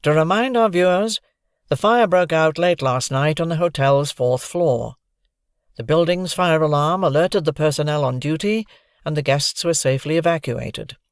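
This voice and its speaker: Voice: medium pitch, normal speed, no echo, clean studio sound, lightly acted. A narrator voicing a television news reporter in a formal broadcast manner. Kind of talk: audiobook character voice.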